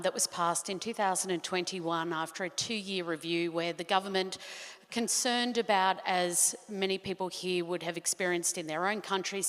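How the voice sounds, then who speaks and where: Australian woman, professor, lowish voice, measured, echoey hall. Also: fairly bright tone. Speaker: professor, panel speaker. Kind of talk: conference talk.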